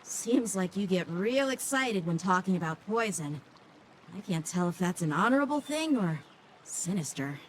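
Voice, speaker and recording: A woman's voice: on the low side, lively intonation, normal speed, a little hiss or hum, natural voice. An actress playing an earnest, forthright young swordswoman with a proud sense of honor in a video game. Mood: challenging. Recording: game dialogue over clear music.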